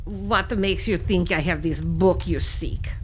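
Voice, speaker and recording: A woman playing an ancient, sly, haughty witch in an audio drama, speaking slowly and formally. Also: fairly low pitch, slight background noise, natural voice. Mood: sly, measured. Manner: slow, formal, haughty speech with cackles.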